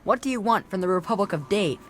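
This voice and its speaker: Casting: actress as bossy, sassy game kid, matter-of-fact delivery, medium pitch for a female voice. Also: lively intonation, fast, soft background music, lightly acted. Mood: sassy, guarded. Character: bossy young child, loyal to president father. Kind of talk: video game character acting.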